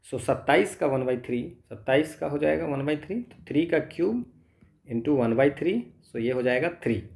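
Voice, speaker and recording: A man's voice: on the high side, animated, normal speed, gravelly, a little mellow. A man with an Indian accent teaching as a tutor, working step by step through problems, thinking aloud and answering his own questions. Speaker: physics tutor. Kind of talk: step-by-step exam problem lesson, mixing Hindi and English.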